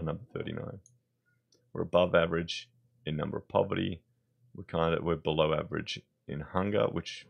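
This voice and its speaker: An Australian man with a hoarse voice, giving blunt, conversational opinion commentary in his vlog. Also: low voice. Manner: blunt, conversational, thinking aloud. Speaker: vlogger.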